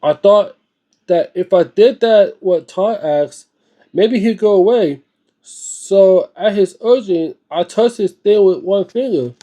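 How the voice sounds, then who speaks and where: A man with a notably high voice and a soft, dark-edged, relaxed tone reads a book aloud. He moves between narration and informal asides, sometimes tripping over the wording. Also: takes it slowly, dull audio. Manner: stumbles over words while reading, informal interjections. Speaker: host reading a book aloud and reacting. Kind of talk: read-aloud narration with casual, off-the-cuff reactions.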